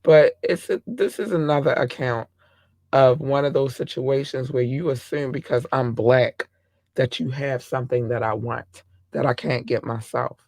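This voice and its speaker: Hoarse male podcast host, gravelly and dry, passionate and rambling. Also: mid-range voice, steady tone, moderate pace, darkish tone, no room sound. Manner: impassioned, rambling with restarts. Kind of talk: casual talk-show commentary.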